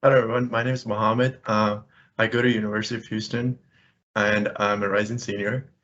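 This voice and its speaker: Low-voiced young man from Pakistan. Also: flat delivery, fairly quick, fairly bright tone. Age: 20s. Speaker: student intern. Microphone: video call. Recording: muffled video call audio.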